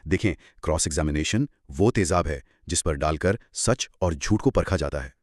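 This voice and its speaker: A man's voice: rumbling low, animated, normal speed, no room sound, clean studio sound. A man with a Pakistani accent explaining law in an assured, emphatic, conversational teaching style. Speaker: legal explainer on a two-person show.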